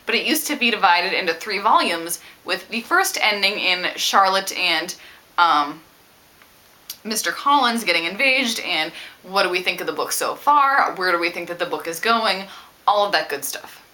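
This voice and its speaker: A woman talking casually about a book as a vlogger, with an animated, emphatic and opinionated way of speaking. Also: on the low side, normal speed, low-quality recording. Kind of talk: casual book discussion to camera.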